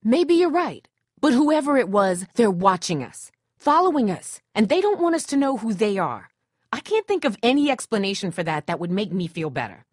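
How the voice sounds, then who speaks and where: A woman's voice: mid-range voice, very animated, moderate pace, a little mellow, no echo, clean studio sound. A woman acting a tough, distrustful and protective survivor in a dramatic video game, blunt, sardonic and guarded. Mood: wary, bitter.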